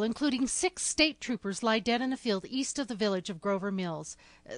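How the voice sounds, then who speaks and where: Actress as higher-voiced flustered radio newswoman. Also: no echo, close to an everyday voice. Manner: formal but hesitant, flustered. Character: shaken female radio studio news announcer. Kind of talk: 1930s radio drama news bulletin.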